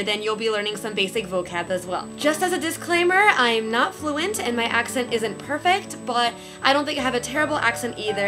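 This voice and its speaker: A young woman giving a beginner language lesson from her bedroom, careful and clear as she demonstrates sounds on a webcam. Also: a little high. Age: young adult. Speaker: language teacher. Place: small bedroom, indoors. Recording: music under the voice.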